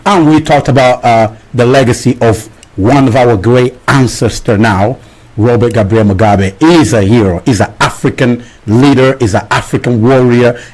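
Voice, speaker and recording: A man's voice: mid-range voice, darkish tone, rough recording. A man hosting a live radio interview, eager and stumbling, often repeating words as he forms his questions. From Angola or Congo. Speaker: radio host.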